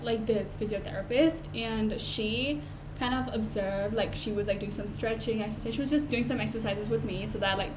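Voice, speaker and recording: An eighteen-year-old woman talking to camera in an unscripted, rambling way, full of "like" and "um" fillers and restarts. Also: fairly high pitch. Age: late teens. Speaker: vlogger. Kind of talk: unscripted casual talk to camera. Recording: noisy home recording.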